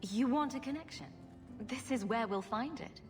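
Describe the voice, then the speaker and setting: An actress playing a wry, quick-witted young woman, the direct and joking heroine of a video game. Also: fairly high pitch, long reverb tail, music in the background, close to an everyday voice. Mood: probing, wry.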